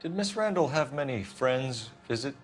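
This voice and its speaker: A man's voice: medium pitch, lively intonation, normal speed, faint music behind, close to an everyday voice. A man playing a stern 1940s police detective, questioning a witness formally and probing for answers in a video game scene.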